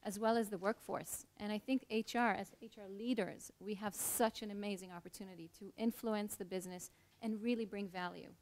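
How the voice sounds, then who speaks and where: A woman's voice: mid-range voice, steady tone, fairly quick, few pauses, darkish tone. A middle-aged woman from Israel, speaking earnestly on a panel as an HR leader and telling a personal story.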